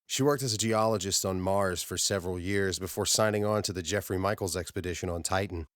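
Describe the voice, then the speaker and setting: A man voicing a steady, commanding male space-mission leader in an audiobook, measured and matter-of-fact with a dry edge. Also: low voice, no room sound, lightly acted. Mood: measured, concerned.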